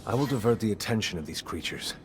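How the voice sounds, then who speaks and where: An actor playing a grave, world-weary, middle-aged master of a secret order in a video game, speaking in a measured, instructive way. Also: male voice, on the low side, expressive, heavy background noise, natural voice. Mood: grave, instructing.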